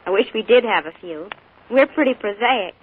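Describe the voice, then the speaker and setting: An actress playing an earnest, adoring young niece in a 1940s radio drama, on a tinny broadcast with faint hiss. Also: female voice, fairly high pitch, expressive, normal speed, natural voice.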